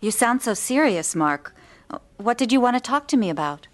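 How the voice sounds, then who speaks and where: Actress as a high-voiced, expressive, affectionate girlfriend. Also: moderate pace, lightly acted. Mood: concerned. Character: affectionate, practical girlfriend, easily hurt. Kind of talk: radio drama acting.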